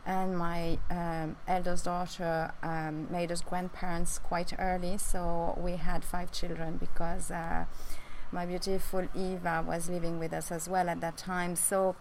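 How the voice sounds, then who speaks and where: French-accented woman in her forties, lower register, casual vlog storytelling, light background noise. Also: little pitch movement, rough recording. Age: 40s. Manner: halting, many fillers and restarts. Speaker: vlogger. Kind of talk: casual personal story to camera. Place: outdoors on a rooftop.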